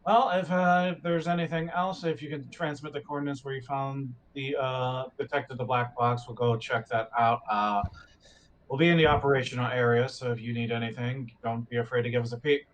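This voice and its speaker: A man's voice: mid-range voice, steady tone, a little fast, lightly acted, low-quality recording. A man playing a laid-back spaceship crewman in a tabletop roleplay, talking casually and hesitantly with some background noise. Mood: relaxed, friendly.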